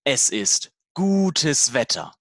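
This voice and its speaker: Scripted lesson dialogue, very slow pace, deliberate simple phrasing, dry close sound. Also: medium pitch, sing-song, fairly bright tone, no echo. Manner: slow, deliberate, simple learner-level sentences.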